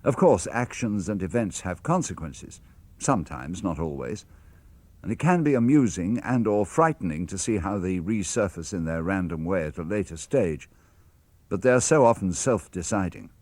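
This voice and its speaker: A man reading a father's letters aloud for a radio broadcast, in a measured, expressive manner with crisp phrasing. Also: sits low, no echo. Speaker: reader of letters.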